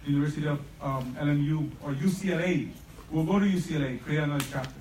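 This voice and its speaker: A man's voice: on the high side, fast talker, darkish tone. A man from El Salvador giving an emphatic motivational speech as a program founder, often checking that listeners agree. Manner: impassioned, emphatic, seeks agreement with "right?". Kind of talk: motivational public speech to parents and students. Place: large room at a live event. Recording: roomy, distant mic, faint noise.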